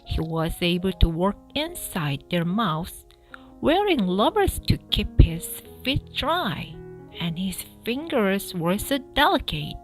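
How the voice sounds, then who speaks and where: A woman narrating a children's storybook aloud in an expressive style, with music behind her. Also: medium pitch, rough recording. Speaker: storybook reader. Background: background music.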